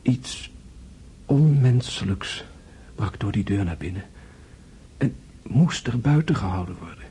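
An actor playing a gravely wounded prince in a radio drama, tender and philosophical, speaking in hushed, halting, weakened tones. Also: male voice, fairly low pitch, lively intonation, exaggerated voice. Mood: haunted, fearful. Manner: hushed, halting, intense delivery, often whispering. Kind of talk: Dutch radio drama acting.

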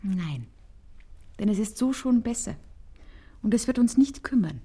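An actress playing a dreamy, yielding young woman in love in a radio play, speaking in a hushed, deliberate way, often nearly whispering. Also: medium pitch, animated, husky. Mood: wistful, dreamy. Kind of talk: German radio play acting.

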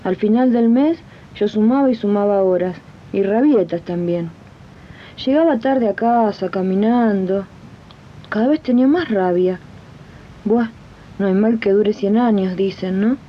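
An actress playing an exploited, fed-up domestic worker turned union organizer in an Argentine radio drama, plain-spoken and earnest. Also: female voice, mid-range voice, unhurried, close to an everyday voice. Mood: weary, indignant. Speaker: radio drama lead and narrator. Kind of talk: 1980s radio drama acting. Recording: dull, noisy 1980s archive radio tape.

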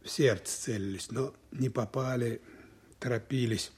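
Soviet lieutenant colonel investigator, male actor, husky mid-pitched voice, deliberate, thinks aloud methodically. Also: darkish tone, natural voice. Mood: thoughtful reasoning. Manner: deliberate, pausing to reason aloud. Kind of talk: audio drama acting.

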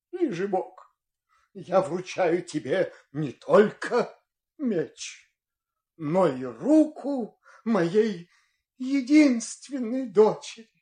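A man's voice: sits high, a little mellow, roomy sound, full character voice. An actor playing a solemn, tender old king in a fairy-tale audio play, speaking in a stately, emotional way. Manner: slow, stately, emotional delivery.

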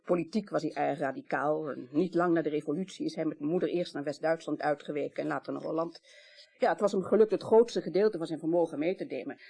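A woman's voice: low-pitched, fast talker, creaky, dark tone, breathy edge, heavily acted. An actress playing a candid, composed older woman in a naturalistic radio drama, frank and matter-of-fact in manner. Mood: frank, reminiscing. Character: candid, composed woman of about sixty, longtime mistress.

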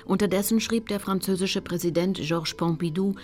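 A woman's voice: lowish voice, moderate pace, darkish tone, lightly acted. An actress playing a determined female activist, recounting her campaign in composed, precise first-person narration for a radio play. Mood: composed narrating. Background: music.

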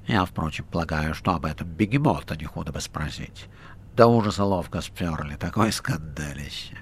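A man's voice: extremely low pitch, animated, normal speed, breathy edge, full character voice. A man voicing a short, brash and cheeky red-haired man with a fang, sly and offhand in an audiobook. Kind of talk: audiobook character reading.

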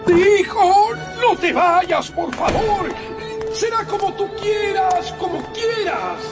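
An actor playing a sentimental, haughty old grandfather in a radio drama, speaking slowly and theatrically with a raspy, creaky voice. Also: extremely high pitch, sing-song, loud music behind the voice, heavily acted. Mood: desperate pleading. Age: older. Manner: slow, theatrical, drawn-out delivery.

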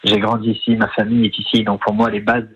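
A man's voice: fairly low pitch, completely flat delivery, quick pace, a bit bright, husky. Young man on a dull lo-fi line. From France. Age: young adult. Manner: casual, many fillers and hesitations. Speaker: guest comedian. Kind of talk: radio interview.

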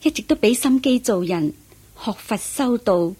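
An actress playing a contented, earnest Buddhist devotee in a radio drama, with a slightly husky voice. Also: female voice, a little high, lively intonation, moderate pace, mellow. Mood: content, sincere. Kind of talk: Cantonese radio drama acting.

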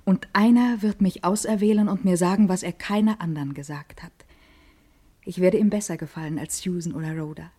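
Woman acting husky, mellow, eager schoolgirl. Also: fairly low pitch, brisk, lightly acted. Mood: eager, dreamy. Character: vain, eager adolescent schoolgirl hungry for life. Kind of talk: literary radio drama monologue.

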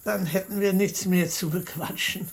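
An actress playing a shrewd, soothing old village granny in a radio drama, plain-spoken and homely. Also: female voice, on the low side, steady tone, normal speed, natural voice. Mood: wry, resigned. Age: older.

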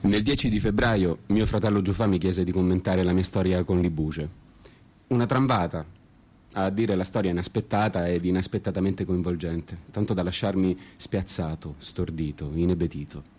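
A man narrating a scripted first-person story in an ironic, disenchanted, deliberately repetitive way. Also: low voice, a little mellow. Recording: prominent music under the voice. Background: plucked guitar music.

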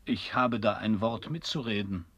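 An actor playing a stubborn, wordy seafarer in a German radio play, speaking in a dry, formal, pedantic manner. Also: male voice, fairly low pitch, moderate pace, a bit bright, a little hiss or hum, natural voice. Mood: pedantic, insistent. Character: stubborn, wordy male old seafarer wearing a borrowed head.

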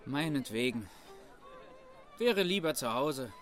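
An actor playing a sullen, awkward young farmhand in a German radio drama, speaking in terse, reluctant phrases. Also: male voice, medium pitch, expressive, takes it slowly, fairly bright tone, soft background music. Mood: sullen, restless.

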